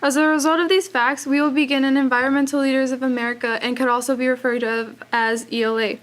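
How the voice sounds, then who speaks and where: A teenage girl from El Paso, Texas, giving a rehearsed student presentation and listing points in a measured way. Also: sits high, flat delivery, a little hiss or hum. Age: teens.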